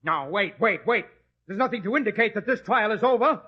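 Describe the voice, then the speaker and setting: An actor playing a scheming high priest who runs a night trial with officious bluster, in a vintage radio drama. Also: male voice, lively intonation, brisk, slightly rough. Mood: flustered. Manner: officious, flustered when cornered. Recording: dull old radio recording.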